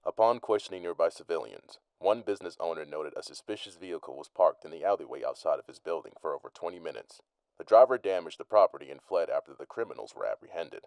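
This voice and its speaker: Casting: male newsreader, very low gravelly pitch, formal and serious, audio drama. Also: animated, fast talker, a little mellow, no room sound. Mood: brisk, factual. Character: brisk, serious male TV news reporter. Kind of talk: formal news report.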